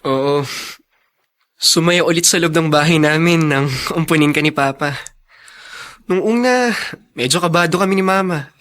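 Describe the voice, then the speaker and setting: Radio drama big brother played by a man: Filipino accent, forward bright tone, slightly high pitch, fond and open. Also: normal speed, pauses often, lightly acted. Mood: wistful, tender. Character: kind, protective older brother, fond and open.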